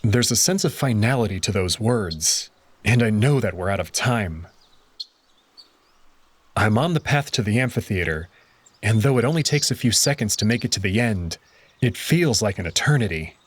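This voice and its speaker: A man narrating a visual novel in the first person with an expressive, dramatic reading. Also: lowish voice, normal speed, husky, no room sound.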